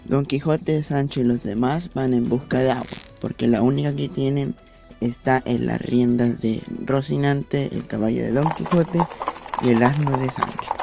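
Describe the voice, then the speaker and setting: A man's voice: medium pitch, fairly even intonation, moderate pace, creaky, over music. A man reading a scripted story summary as a narrator. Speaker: narrator of a student book summary. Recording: dull, lo-fi amateur recording.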